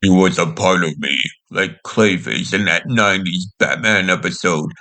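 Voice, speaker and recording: A man's voice: deep voice, normal speed, sharp tone, faint music behind, voice pushed down. A man voicing an arrogant, boastful, menacing monster-sorcerer villain in a fan abridged anime dub. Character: arrogant gold champion sorcerer villain who becomes a monster.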